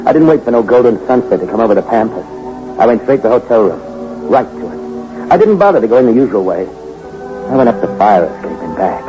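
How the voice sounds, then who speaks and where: A man's voice: lowish voice, sing-song, a little fast. A man narrating and acting the lead in a 1940s noir radio drama, speaking in a hard-boiled, clipped, sardonic way. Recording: muffled old radio recording with music.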